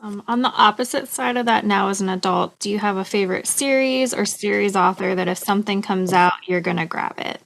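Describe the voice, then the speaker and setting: A woman with noticeable vocal fry hosts an interview. Her voice sits at an average pitch as she puts conversational questions to her guest. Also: fairly quick, hardly any pauses, a bit bright. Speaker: interview host.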